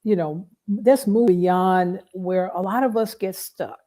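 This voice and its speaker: Mellow, slightly low-voiced woman host. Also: takes it slowly. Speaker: podcast host, interviewer. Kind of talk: conversational interview.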